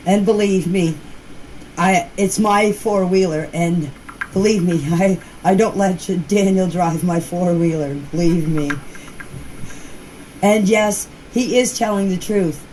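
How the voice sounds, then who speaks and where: A woman's voice: lowish voice, takes it slowly, warm tone. A woman speaking informally and emphatically in voice messages, repeating herself for emphasis and using colloquial, non-standard grammar. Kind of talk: informal voice messages answering questions in a group chat. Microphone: voice clips recorded for a group chat. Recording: noisy informal voice-message recording.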